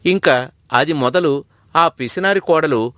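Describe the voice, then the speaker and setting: Guy narrating a story from a script, voice on the high side, dry sound. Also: a little slow, fairly bright tone, dry recording.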